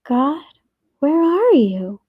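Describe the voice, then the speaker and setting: Storybook child role read by a woman: curious, earnest little girl, hushed and wondering, lifting high on her questions, slow delivery. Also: very animated, dry recording, narrow, tinny audio, exaggerated voice. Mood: hushed, searching. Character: curious young girl searching earnestly for God.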